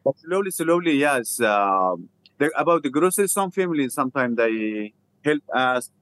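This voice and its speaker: Afghan-accented man telling his story haltingly. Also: mid-range voice, expressive, a bit bright, poor audio. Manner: halting, simple non-native English with repeated phrases. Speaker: interview guest, refugee theatre founder. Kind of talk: personal storytelling in an interview.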